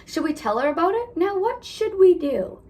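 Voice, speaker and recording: A woman's voice: very high-pitched, big pitch swings, moderate pace, a little mellow. A woman teacher reading aloud and talking to young children in an instructive way, often putting questions to them. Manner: instructive, asks the listeners questions. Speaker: elementary school teacher. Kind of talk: children's read-aloud and lesson.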